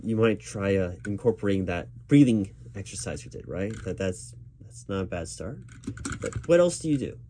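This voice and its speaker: A man's voice: low-pitched, lively intonation, moderate pace, warm tone. A man playing a recruiting manager in an ASMR interview roleplay, speaking aloud in a reassuring, patient and encouraging way. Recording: background noise with keyboard typing.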